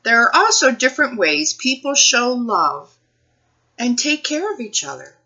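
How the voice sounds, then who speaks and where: Female storytime librarian. Highly expressive, slow-paced delivery aimed at young children, with questions to listeners. Slightly muffled recording. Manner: addresses young children with questions, deliberate phrasing. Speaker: children's librarian reading a picture book aloud. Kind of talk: children's storytime read-aloud with asides to listeners.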